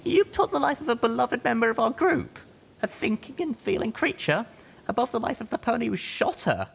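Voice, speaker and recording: A man's voice: very high-pitched, big pitch swings, a little fast, vocal fry, slightly rough, voice pushed up, heavily acted, low-quality recording. A man voicing an anxious, earnest and stubborn little young mare in an audiobook. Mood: worried. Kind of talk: audiobook character voice.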